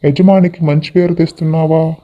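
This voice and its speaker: Casting: proud horse for an animated fable , male actor, higher-than-average voice, superior manner, lo-fi sound. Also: brisk, natural voice. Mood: haughty. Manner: haughty, lecturing. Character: proud, boastful male racehorse who looks down on others. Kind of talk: scripted animated moral story dialogue.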